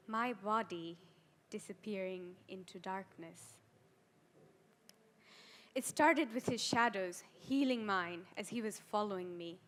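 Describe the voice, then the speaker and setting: A woman performing a dramatic stage monologue as a frightened, ashamed adolescent girl, deliberate and full of heavy pauses. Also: mid-range voice, fairly bright tone. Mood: fearful, bitter. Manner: slow, deliberate, with heavy pauses and swelling emphasis.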